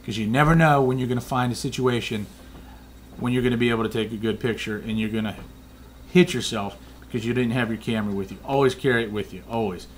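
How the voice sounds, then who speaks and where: A man casually explaining night photography in a flat, monotone way, rambling with many fillers and restarts. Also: medium pitch, fast talker, slight background noise. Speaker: photography vlogger.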